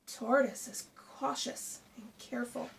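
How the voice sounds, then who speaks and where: A woman's voice: fairly high pitch, unhurried, creaky. A middle-aged woman reading a picture book aloud expressively to children, like a primary teacher. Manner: expressive, addressing children with questions. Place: small indoor room with shelves. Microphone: camera a short distance away. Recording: distant mic, faint background noise.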